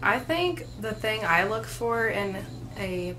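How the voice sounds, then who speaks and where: A woman's voice: mid-range voice, animated, takes it slowly, noisy background. A woman in her twenties chatting casually to a webcam in a small room, with lots of "like" and "um" fillers. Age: 20s. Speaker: co-host. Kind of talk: casual chat. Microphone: webcam at desk distance.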